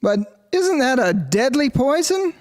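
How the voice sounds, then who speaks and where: Man acting very high-voiced, worried farmer Earl. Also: very animated, takes it slowly, full character voice. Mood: worried, questioning. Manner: questioning, concerned. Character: worried, questioning male potato farmer named Earl. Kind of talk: amateur historical drama.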